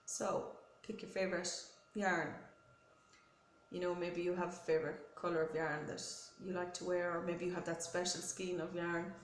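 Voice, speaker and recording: A woman hosting a knitting podcast with a head cold, talking in a friendly, rambling show-and-tell way with many ums and self-corrections. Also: fairly low pitch. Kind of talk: casual chatty show-and-tell. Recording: roomy echo with faint noise.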